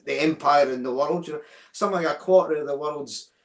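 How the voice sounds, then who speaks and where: A man's voice: fairly high pitch, fast, reverberant. A Scottish man in his sixties, a guest in an interview, explaining ideas thoughtfully, often repeating words and checking in with "right?". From Scotland. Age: 60s. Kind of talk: reflective interview talk.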